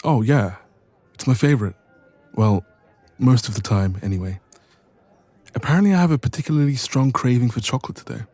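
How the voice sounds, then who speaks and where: A man's voice: mid-range voice, fairly quick, long pauses, dry recording. A man playing a playful, teasing young best friend in a romantic roleplay audio, chatting casually and intimately. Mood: warm, chatty. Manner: playful, teasing, expressive, soft laughs.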